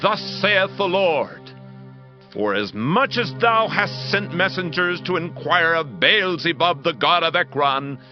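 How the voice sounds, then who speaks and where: A man playing a stern, commanding prophet in a dramatized Bible audiobook, declaiming slowly and solemnly. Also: fairly high pitch, expressive. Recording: slightly dull audio with music behind. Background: music.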